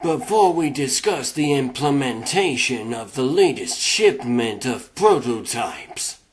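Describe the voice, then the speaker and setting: A suave cartoon cat crime boss, played by a man, speaking slowly and deliberately. He is pompous and wordy, choosing formal phrases with a smug air of menace. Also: medium pitch, animated, a little hiss or hum, pitched below the performer's own voice. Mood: smug, menacing. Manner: pompous, verbose, formal diction. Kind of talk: comic dub voice acting.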